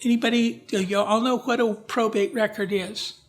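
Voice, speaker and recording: A man's voice: high-pitched, steady tone. A man presenting a genealogy talk at a library, speaking informally with slides, often restarting his sentences and putting questions to the audience. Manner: halting, with frequent restarts and asides to the audience. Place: library event room.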